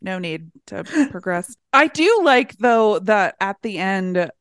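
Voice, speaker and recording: A woman's voice: medium pitch, big pitch swings, takes it slowly, a bit bright, clean tone, dry recording, clean studio sound. A woman co-hosting a podcast, chatting casually in a playful, slangy, emphatic way. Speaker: podcast co-host. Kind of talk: casual, animated chat.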